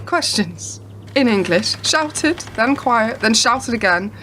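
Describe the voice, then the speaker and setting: A woman playing a bitter, wary, traumatised spy in a radio play, speaking in halting, clipped phrases. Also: on the high side, expressive. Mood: haunted, controlled. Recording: very noisy local radio play recording.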